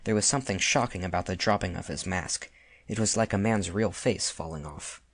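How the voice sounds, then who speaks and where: Narrator for literary audiobook: man, very low voice, close dry recording. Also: a little fast, no room sound.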